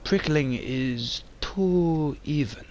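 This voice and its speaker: A man's voice: on the high side, drawn-out words, darkish tone, a little hiss or hum. A man voicing a cold, suspicious crime boss with a formal, commanding manner in an amateur motion comic.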